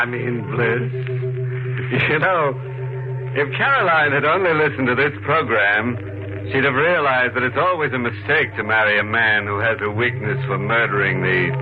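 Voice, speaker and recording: An actor playing a ghoulishly jovial mystery host, mock-friendly and fond of dark puns, in a 1950s radio horror show. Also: male voice, mid-range voice, normal speed, lightly acted. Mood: ghoulish glee. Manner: mock-friendly, punning, chuckling. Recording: muffled, narrow 1950s radio, music behind.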